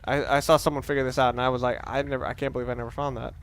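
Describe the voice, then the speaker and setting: A man's voice: medium pitch, little pitch movement, very fast, smooth. A young man giving casual, rambling commentary as he plays a video game, often interrupting himself. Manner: rambling, self-interrupting, conversational. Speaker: let's play host. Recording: faint background noise, game sounds underneath. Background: video game sound.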